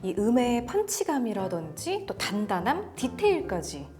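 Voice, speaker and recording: Animated woman co-hosting an audio review. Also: fairly high pitch, normal speed, soft background music. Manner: agreeing, prompting with questions. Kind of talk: conversational product review.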